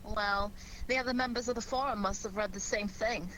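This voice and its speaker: A woman's voice: medium pitch, fairly even intonation, a little fast, natural voice. An American woman playing a detective's curious, affectionate companion in a playful, eager manner, in 1940s radio style. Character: sleuth's bright, affectionate, curious lady companion. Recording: noisy vintage 1940s radio recording.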